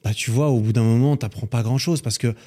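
A man's voice: mid-range voice, fairly quick, warm tone. A man hosting a casual podcast chat, asking questions with hesitant restarts. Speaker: podcast host.

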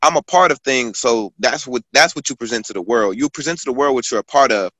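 A man's voice: mid-range voice, fast, a bit bright, no room sound. A man in his twenties, a guest author and poet, talking casually in a rambling storytelling way, full of fillers and restarts. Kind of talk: casual conversation.

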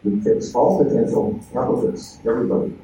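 A man who serves as a school board member, making measured, hesitant remarks at a public meeting. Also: on the low side, lively intonation. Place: indoor public meeting room. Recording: very echoey meeting room, distant, faint noise.

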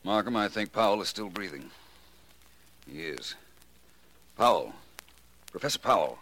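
A man acting in a 1940s radio drama as a calm, shrewd detective, measured and matter-of-fact. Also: sits low, background noise. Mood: grave.

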